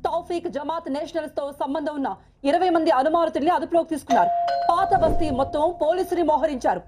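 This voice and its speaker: A woman's voice: sits high, quick pace, lightly acted. An actress playing a formal female news reader delivering a scripted bulletin in a film. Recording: loud film music under bulletin.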